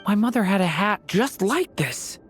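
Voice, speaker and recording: A woman voicing a gentle, wistful young dragonborn boy in a video game, soft-spoken and thoughtful. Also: medium pitch, lively intonation, normal speed, soft background music, lightly acted. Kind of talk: video game character acting.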